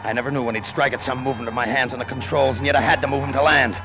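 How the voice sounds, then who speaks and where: An actor playing a desperate, terrified crooked pilot in an overwrought old-time radio drama style. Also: male voice, mid-range voice, fairly even intonation, very fast, music in the background, natural voice. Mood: tense narration. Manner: overwrought, breathless intensity. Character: desperate, terrified crooked pilot telling his own tale.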